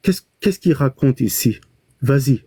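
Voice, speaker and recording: Homme pressant à la voix sourde. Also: male voice, mid-range voice, expressive, measured pace, dark tone, breathy edge, dry recording. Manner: pleading, interrupts, repeats words. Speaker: debate participant. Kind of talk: heated online debate.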